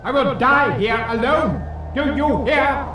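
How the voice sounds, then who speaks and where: A man plays a defiant prisoner with a very high voice, speaking very slowly. His brooding, unhinged lines ring out in an echoey space with music behind. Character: defiant male prisoner clinging to his village. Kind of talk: fan audio drama acting.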